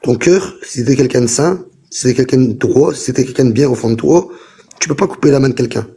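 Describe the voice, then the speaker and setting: A man hosting a live online debate, talking insistently and repeating himself to keep the floor. His voice is dark and mellow, with a husky edge. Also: medium pitch, brisk. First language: French. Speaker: host. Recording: TikTok live call audio.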